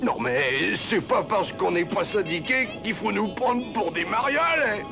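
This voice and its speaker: A bragging, dim-witted cartoon pig hero. The voice is fairly high and creaky, excitable and animated. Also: male voice, measured pace, heavily acted. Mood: cocky. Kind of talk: French cartoon dub. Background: loud music.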